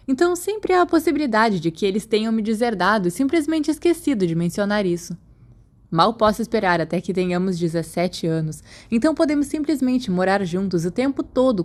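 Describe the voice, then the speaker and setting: A woman's voice: mid-range voice, a little mellow. Brazilian woman reading a story aloud. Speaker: podfic narrator. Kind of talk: scripted audiobook narration of fan fiction letters.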